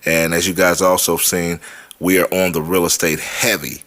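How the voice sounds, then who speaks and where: A man's voice: sits low, little pitch movement, normal speed, a bit bright. A man hosting a vlog, talking informally to his viewers and repeating points for emphasis. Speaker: host of a money and real estate vlog. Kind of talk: casual vlog talk to viewers.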